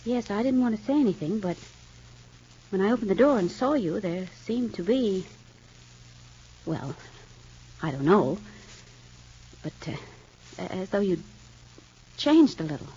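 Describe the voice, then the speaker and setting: An actress playing a kindly married woman in a 1940s radio soap, speaking in a gentle, motherly way. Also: mid-range voice, lively intonation, measured pace, pauses often, husky. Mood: soothing. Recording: dull lo-fi old radio recording.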